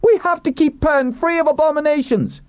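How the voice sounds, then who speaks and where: A man voicing a defiant, fanatical woman captive in a storybook, with shrill zealous cries. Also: normal speed. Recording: roomy, dull muffled recording.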